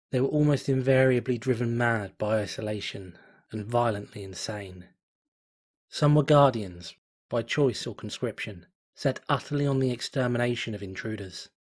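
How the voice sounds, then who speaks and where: A man reading a fanfiction story aloud in a measured, dramatic storytelling manner. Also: medium pitch. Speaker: fanfiction reader.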